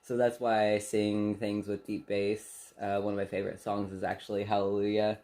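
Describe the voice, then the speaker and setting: A soft-spoken young man co-hosting a casual get-to-know-you chat in a small bedroom, laughing often and speaking candidly. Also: lowish voice, monotone, moderate pace. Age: young adult. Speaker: channel co-host.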